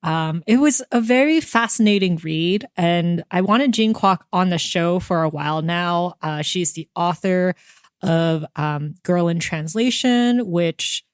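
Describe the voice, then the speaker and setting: A woman with a somewhat low voice hosts a casual interview podcast. She talks conversationally, and the sound is dry with very little room around it. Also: animated, a little slow, clear, resonant tone, no room sound. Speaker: podcast host.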